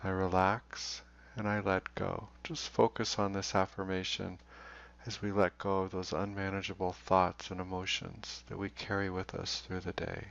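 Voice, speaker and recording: A man leading a guided meditation in a slow, deliberate way, leaving long pauses. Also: sits low, little pitch movement, gravelly, a bit bright. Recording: dull, roomy recording.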